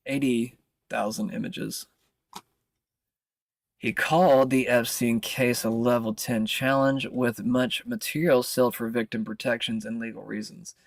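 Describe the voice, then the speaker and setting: Creaky American man commenting on the news. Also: mid-range voice, dry recording. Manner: informal, halting, often interrupts himself with asides. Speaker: streamer and commentator. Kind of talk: casual livestream news commentary, reading articles aloud.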